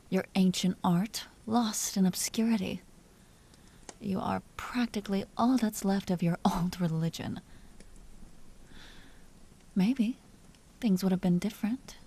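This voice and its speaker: Vampire duchess, played by a woman: seductive, imperious and teasing, dark soft tone, unhurried, intimate audio roleplay with whispered phrases. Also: lowish voice, full character voice. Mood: mock pity, then cold, wistful. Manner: slow, breathy delivery dropping often into whispers. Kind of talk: scripted audio roleplay, intimate monologue to listener.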